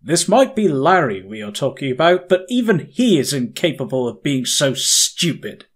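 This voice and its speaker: A man's voice: a little high, sing-song, normal speed. A man voicing a stern, proud young prosecutor in a game dub, formal and pointed in his speech. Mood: stern, suspicious. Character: stern, haughty young male courtroom prosecutor, suspicious and proud. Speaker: fan dub voice actor. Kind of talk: dramatic video game dub acting.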